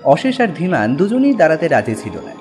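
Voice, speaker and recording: Guy narrating a ghost story, dramatic, swoopy highish voice, music behind. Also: huge pitch swings, fairly quick, a little mellow.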